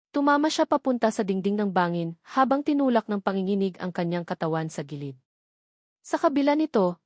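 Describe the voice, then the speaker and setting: A woman's voice: medium pitch, darkish tone, no echo, studio quality. A woman reading a scripted novel aloud as an audiobook narrator.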